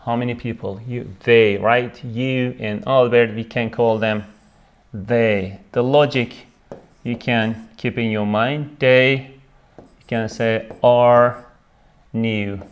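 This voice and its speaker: A man's voice: medium pitch, steady tone, clean tone. A man teaching a beginner English grammar lesson, switching into to translate and checking "right?" after each point. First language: Turkish. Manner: slow, repeats each word, checks with "right?"; switches between English and Turkish.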